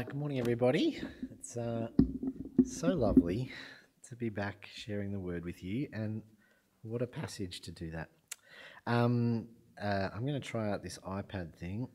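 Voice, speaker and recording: A low-voiced man gives a sermon in a church. He is croaky from a cold and talks in a relaxed, self-deprecating way, with plenty of ums. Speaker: preacher. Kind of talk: sermon with prayer.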